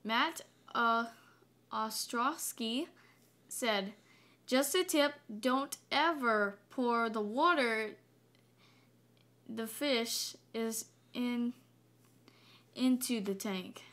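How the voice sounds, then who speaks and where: A woman reading viewer comments aloud in a casual home video, hesitant, with many ums and restarts, and a deep voice. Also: very slow, long pauses, fairly bright tone.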